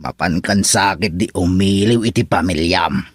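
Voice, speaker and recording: A man acting in a radio drama as a kindly, fatherly older villager, with an Ilocano accent, a husky voice and a measured, counselling manner. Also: deep voice, dry recording, clean studio sound.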